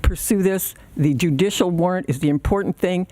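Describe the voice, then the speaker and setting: An older woman, in her sixties or older, with a soft voice, speaking haltingly and informally at a public meeting. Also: on the low side. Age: 60s or older. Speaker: resident giving public comment.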